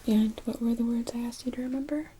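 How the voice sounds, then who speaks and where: A woman in her thirties speaking in a soft, breathy whisper, giving calm, gentle instructions as a medical technician in an ASMR roleplay, recorded close. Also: on the high side, quick pace, slight background noise. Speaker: ASMR performer playing an EEG technician. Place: small indoor room dressed as hospital bay.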